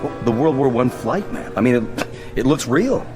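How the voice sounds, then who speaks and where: Man playing a curious corporal, lively intonation. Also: mid-range voice, moderate pace, close to an everyday voice. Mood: intrigued, respectful. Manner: tentative, inquisitive. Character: curious, hesitant, respectful army corporal. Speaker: actor in a radio drama. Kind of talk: radio drama acting. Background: music.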